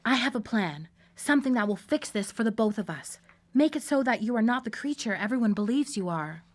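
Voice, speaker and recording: A betrayed lab assistant back from the dead in a radio drama. Her voice is fairly high and very expressive, deliberate and coldly vengeful. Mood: calm, persuasive.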